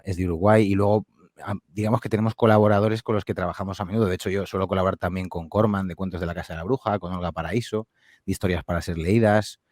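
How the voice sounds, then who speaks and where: Low-voiced man answering questions, dry sound. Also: steady tone, fast talker, darkish tone, dry recording. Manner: thoughtful, many fillers and tag questions. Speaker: podcast guest, audio fiction producer. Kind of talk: casual interview answers.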